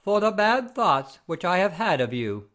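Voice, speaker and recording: A man's voice: sits high, very animated, measured pace, fairly bright tone, full character voice. A man playing an imperious, pompous father-in-law obsessed with honour and rank in a classic comedy audio play. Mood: dictating. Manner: commanding, pompous, formal.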